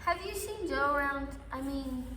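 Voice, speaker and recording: A woman's voice: sits high, expressive, moderate pace, sharp tone, recorded from a distance. A woman voicing a blunt, sassy, easily exasperated schoolgirl in a puppet comedy. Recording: echoey hall, faint noise.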